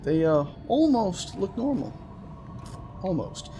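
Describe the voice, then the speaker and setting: A man's voice: sits high, sing-song, slow pace, warm tone, a little hiss or hum. A man giving casual, rambling let's play commentary, often interrupting himself with playful wording. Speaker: gaming video host. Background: game music.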